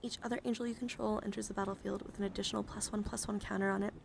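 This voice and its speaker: Woman, ASMR streamer: soft, crackly vocal fry, whisper-prone, soothing and encouraging, close mic with noticeable background noise. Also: medium pitch, level tone, rapid-fire. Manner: soft-spoken, often dropping into whispers. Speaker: livestreamer hosting an ASMR card-showing stream. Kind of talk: ASMR, casual livestream chat. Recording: clearly audible background noise.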